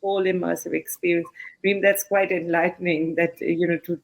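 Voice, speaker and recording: A woman from Canada, a chief executive on a panel, speaking haltingly with many fillers. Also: lowish voice, flat delivery, vocal fry. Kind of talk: panel discussion.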